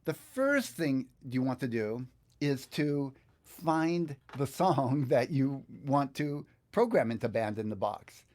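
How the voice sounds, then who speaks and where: A man's voice: medium pitch, very animated, slow pace, darkish tone. An American man in his sixties teaching on a livestream in a casual, conversational, easygoing way. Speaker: online guitar teacher and livestream host. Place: small indoor home studio.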